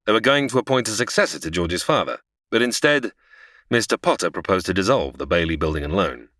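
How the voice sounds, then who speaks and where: A man's voice: sits low, animated, a little fast, a bit bright, dry recording, studio quality. A man playing a patient, slightly flustered angel who narrates and explains a story in a comedy audio drama. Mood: storytelling.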